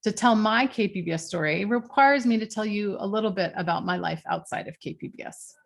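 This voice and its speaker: A woman in her forties from San Diego, giving a steady, earnest prepared talk to supporters over a video call from her home. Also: medium pitch, a little fast, gravelly. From San Diego, California. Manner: earnest, steady, lightly scripted with small fillers. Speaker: interim general manager of a public media station. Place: home room with window, construction outside.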